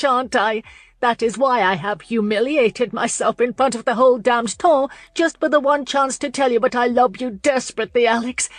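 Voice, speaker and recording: A woman's voice: a little high, dry recording, close to an everyday voice. A woman narrator voicing a heartbroken, defiant young woman in a period romance, speaking in impassioned, tearful outbursts. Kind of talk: audiobook character voice.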